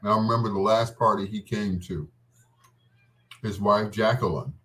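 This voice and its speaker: A man's voice: fairly low pitch, fairly even intonation, normal speed, a bit bright. A man hosting a radio show, telling personal stories in a casual, rambling, reminiscing way. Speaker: radio host. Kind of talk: casual storytelling between love songs.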